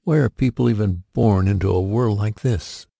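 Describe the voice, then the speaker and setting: A man's voice: fairly low pitch, moderate pace, creaky, dark tone, no room sound, voice pushed up. A man voicing a gloomy, haunted young boy in an audiobook, bleak and plaintive.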